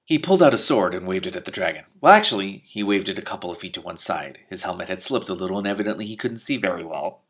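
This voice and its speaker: Male book reader, very lively pitch, expressive narration with offhand reactions. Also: fast talker. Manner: expressive, reacting casually to the story. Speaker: reader and commentator of a fantasy novel. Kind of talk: animated read-aloud with off-the-cuff commentary.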